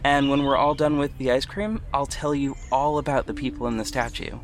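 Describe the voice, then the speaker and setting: A man playing a kind, protective hero in an audio drama, talking gently and encouragingly as if to a small child. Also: mid-range voice, steady tone, a little fast, lightly acted. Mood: gentle, reassuring.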